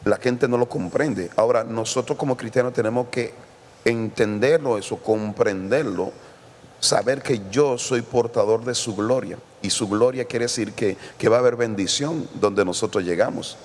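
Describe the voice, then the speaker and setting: Mexican pastor telling stories in a reverberant room. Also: male voice, mid-range voice, moderate pace. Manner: lively anecdotes with quoted dialogue. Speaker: guest pastor. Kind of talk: casual storytelling in a church podcast.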